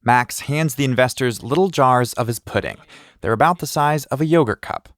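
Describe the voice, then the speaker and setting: A man hosting and narrating a podcast, delivering scripted narration in a lively, conversational storytelling way. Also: mid-range voice, moderate pace.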